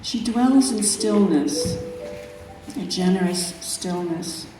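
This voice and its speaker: A woman poet reading her own work at a live event, in measured, deliberate phrases with pauses. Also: mid-range voice. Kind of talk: poetry reading at a live event, with brief spoken introductions. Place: echoey room at an arts event. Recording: very echoey room, distant mic, music.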